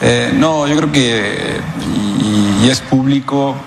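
A man answering questions in an interview clip, hesitant and halting, with many fillers. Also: medium pitch, gravelly, background noise. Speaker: interviewed guest, possible club presidential candidate. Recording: roomy clip with background ambience.

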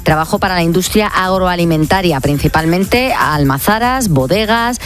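Woman, radio co-host, Spain accent, low voice, reading messages, music behind. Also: animated, normal speed.

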